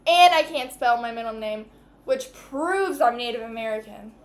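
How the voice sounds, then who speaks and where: A woman's voice: a little high, lively intonation, normal speed. A woman from Quebec, Canada, chatting casually and informally as the game partner on a livestream. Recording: roomy echo with clear background noise.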